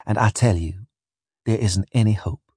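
A young enslaved woman, voiced by a male audiobook reader, speaks in a soft, husky voice. She is scornful and worn down, sneering with bitter resignation. The sound is close and dry. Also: on the low side, moderate pace, dry recording. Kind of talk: audiobook character voice.

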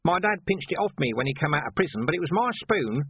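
A man's voice: fairly high pitch, very animated, quick pace, husky, dry recording, narrow, tinny audio, voice pushed up. A man voicing a blunt, persistent male city watchman.

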